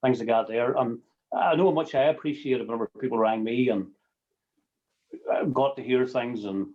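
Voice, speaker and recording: Halting Northern Irish man, muffled call audio. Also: mid-range voice, darkish tone. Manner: halting, repeating words, tagging phrases with everyday sayings. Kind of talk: informal personal sharing on a group call.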